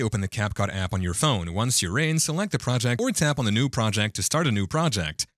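A man narrating a scripted step-by-step phone app tutorial in an even instructional delivery. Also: medium pitch, dry recording, clean studio sound. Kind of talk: scripted screen-recording voice-over.

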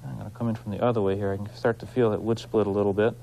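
A man hosting an instructional craft demonstration, explaining informally and in a folksy, unhurried way while he works with his hands. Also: lowish voice, flat delivery, gravelly, mellow, lo-fi sound, a little hiss or hum. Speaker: woodcarving instructor, show host.

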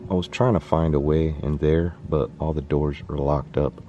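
Deep-voiced man vlogging in the dark. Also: steady tone, normal speed, dull audio, soft background music. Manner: chatty, reading off names, dropping to a whisper in the dark. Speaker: YouTube host. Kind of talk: casual vlog narration. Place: dark abandoned stadium at night, indoors. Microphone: handheld camera held close.

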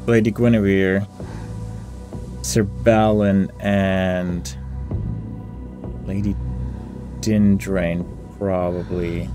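Male gamer, lowish voice, casual think-aloud commentary over clear background music. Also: flat delivery, very slow, pauses often. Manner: mutters through options, reading game text aloud. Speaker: gamer hosting a playthrough. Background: game music.

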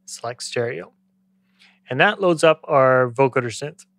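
A man in his thirties giving a conversational, step-by-step software tutorial as an instructor, close to the microphone. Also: medium pitch, animated, unhurried, dry recording. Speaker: music production tutorial instructor.

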